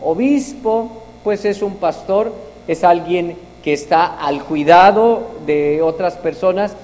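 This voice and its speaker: A man from Mexico with a Mexican accent, preaching a sermon with prayer and scripture reading, slow and halting, often repeating his words. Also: high voice, darkish tone. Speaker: visiting preacher. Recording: long hall reverb, faint noise.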